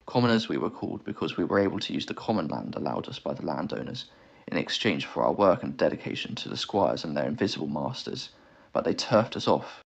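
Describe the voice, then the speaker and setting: Narrator putting on a young English outlaw, grave and earnest, very deep, gravelly. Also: male voice, lively intonation, brisk, heavily acted. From England. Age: 20s. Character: young English bushranger man, earnest and aggrieved.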